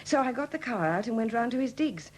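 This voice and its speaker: Husky, anxious woman. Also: fairly high pitch, animated, fairly quick, rough recording. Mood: distressed confiding. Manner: hesitant, faltering, apologetic. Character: anxious, hesitant Welsh woman, troubled and polite. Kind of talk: 1970s radio drama acting.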